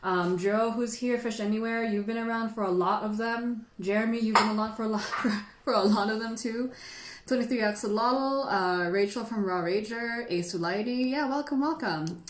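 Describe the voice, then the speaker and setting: A woman's voice: a little high, moderate pace. Sentimental woman livestreamer in an echoey room. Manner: sentimental, chatty, giggly. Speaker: livestream host and tea teacher. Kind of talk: casual livestream chat.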